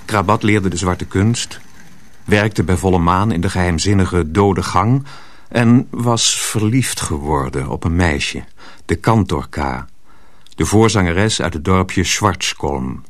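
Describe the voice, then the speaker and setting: A man narrating a radio drama in a measured, scripted storytelling manner. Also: low-pitched.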